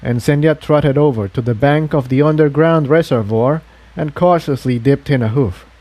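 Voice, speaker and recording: A man's voice: on the high side, moderate pace, dark tone, dry recording. A man reading an adventure story aloud as a scripted narration.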